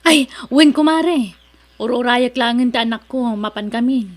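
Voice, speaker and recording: An actress playing a humble, patient mother in an Ilocano drama, speaking in a gentle, unassuming way. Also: fairly high pitch, moderate pace, close to an everyday voice. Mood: puzzled, patient. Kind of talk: Ilocano radio drama acting.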